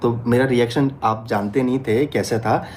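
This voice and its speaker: A man's voice: mid-range voice, steady tone, moderate pace, darkish tone, slight background noise. A man talking informally as a vlogger to viewers and companions, interjecting and insistently repeating his phrases.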